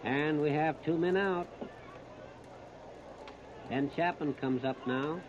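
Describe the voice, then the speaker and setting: A man's voice: fairly high pitch, slow. Muffled 1930s American baseball radio man. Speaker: baseball radio play-by-play announcer. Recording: muffled, noisy 1930s radio disc recording.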